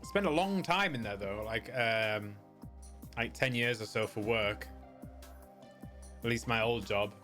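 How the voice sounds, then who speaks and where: A man's voice: lowish voice, unhurried, long pauses, sharp tone. A middle-aged man from the United Kingdom chatting casually as a game streamer, rambling and often searching for his words. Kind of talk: casual livestream chat. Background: music behind the voice.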